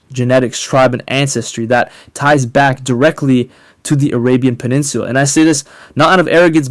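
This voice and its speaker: A man delivering a polemical monologue as an online commentator, speaking emphatically and rhetorically with deliberate pauses on key words. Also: mid-range voice, dry recording, studio quality. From North Africa. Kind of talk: polemical monologue to camera, partly scripted.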